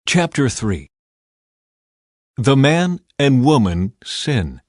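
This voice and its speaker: A man narrating scripture as an audiobook in an expressive, deliberate, dramatic storytelling style. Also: mid-range voice, no room sound, clean studio sound.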